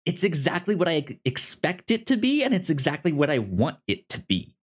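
A man co-hosting a casual movie discussion, enthusiastic, with halting delivery and repeated, stammered sentence starts. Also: a little high, huge pitch swings, breathy edge. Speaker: podcast co-host.

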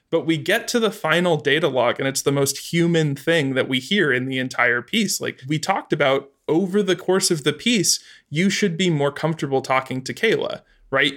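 A man's voice: fairly high pitch, a bit bright. A man speaking casually and enthusiastically as an interview guest about creative work. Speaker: guest, audio drama adapter.

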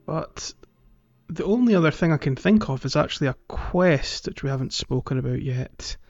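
A man's voice: fairly high pitch, lively intonation, normal speed, faint music behind. A man co-hosting a podcast in a casual chat about gaming, on a dry, slightly dull recording. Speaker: podcast co-host.

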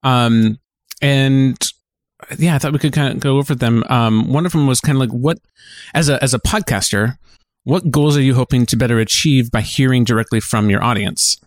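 A man's voice: medium pitch, normal speed, no room sound. Casual male podcast co-host, dry sound.

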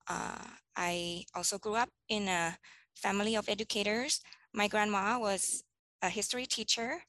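A woman's voice: medium pitch, steady tone, moderate pace, pushed and bright, no room sound. A woman in her thirties from Thailand, a teacher answering interview questions thoughtfully, with frequent ums and restarts. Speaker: teacher guest.

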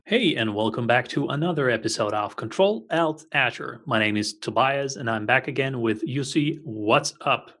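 A man's voice: medium pitch, lively intonation, normal speed, few pauses, a bit bright. A man co-hosting a podcast, chatting casually in a rambling, friendly way and sometimes searching for words. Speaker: podcast co-host. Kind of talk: casual chat.

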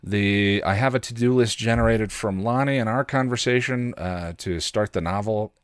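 Man, lower-pitched, casual podcast chat, rambling, thinking aloud, close dry recording. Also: moderate pace, smooth, no echo. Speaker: podcast host and content creator.

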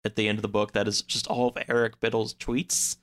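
A man's voice: fairly low pitch, flat delivery, brisk, a bit bright. A man appearing as a podcast guest, chatting casually and informally about comics with lots of filler "like". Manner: informal, wordy, full of "like".

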